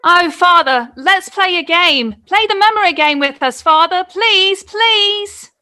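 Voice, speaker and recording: Woman as a very high-pitched excitable child. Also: measured pace, heavily acted. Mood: excited. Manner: squealing, eager, repetitive. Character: excitable young child begging father to play. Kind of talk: radio play acting.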